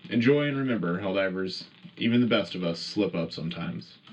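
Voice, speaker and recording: A man's voice: sits low, lively intonation, fairly quick, darkish tone, dull audio. A man playing a patriotic wartime radio news anchor, hosting a scripted military broadcast with formal announcer delivery. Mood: friendly, promotional. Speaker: radio show host.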